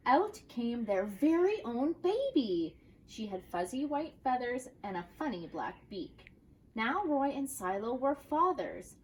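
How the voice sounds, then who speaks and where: A woman on a school staff reading a children's picture book aloud in an expressive, dramatic way. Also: mid-range voice, slight background noise, low-quality recording. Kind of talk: children's storybook read-aloud.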